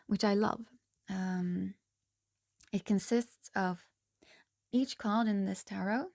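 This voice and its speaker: A hoarse young woman speaks slowly in a casual tarot reading, pausing often and restarting her sentences. Her tone is mellow and gravelly with a bit of fry, and the recording is dry and close. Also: medium pitch, no room sound. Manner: slow, halting, soft-spoken with frequent ums and restarts. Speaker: tarot reader.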